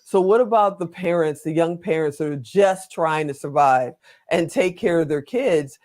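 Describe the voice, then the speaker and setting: A woman's voice: lowish voice, moderate pace, a little mellow. Woman host, chatty, jokey, long rambling questions, casual interview. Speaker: talk show host.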